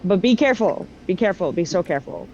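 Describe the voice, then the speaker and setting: Slightly low-voiced woman, dull audio. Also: lively intonation, quick pace, darkish tone. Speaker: tabletop game player. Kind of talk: casual roleplay chat. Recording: dull audio, faint noise.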